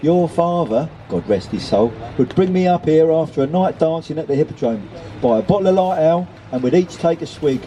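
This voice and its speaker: A man's voice: fairly high pitch, lively intonation, fairly quick, few pauses, dark tone, a little hiss or hum, voice pushed up, lightly acted, rough recording. A man voicing a defiant, nostalgic older London mother in a storybook, earthy and chatty. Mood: fondly nostalgic.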